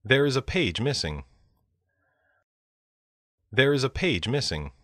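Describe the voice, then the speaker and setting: A man reading short example sentences carefully and clearly for a listening lesson, in a dry studio voice-over. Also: medium pitch, sing-song, slow pace, a bit bright, no echo. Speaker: listening practice speaker. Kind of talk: slow read example sentences.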